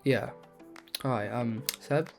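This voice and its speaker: Casual man with a medium-pitched voice. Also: takes it slowly, creaky, a little mellow, faint music behind. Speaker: podcast panelist asking questions. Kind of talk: casual gaming podcast talk.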